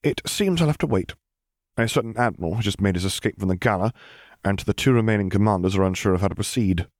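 Man as a prim, measured naval captain. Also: fairly low pitch, no room sound, studio quality, lightly acted. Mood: prim, sly. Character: prim, unflappable naval captain with sly wit.

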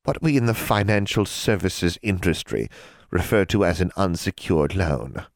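Sly mocking old hag lender, man doing the voice, lively swooping cackle, dry close sound. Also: deep voice, vocal fry, no room sound. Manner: cackling, teasing, drawn-out.

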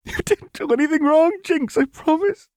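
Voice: high-pitched, moderate pace, darkish tone, dry recording, voice pushed up, lightly acted. A narrator voicing an anguished, guilt-ridden young woman in a story, crying and pleading emphatically. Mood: sobbing, guilty.

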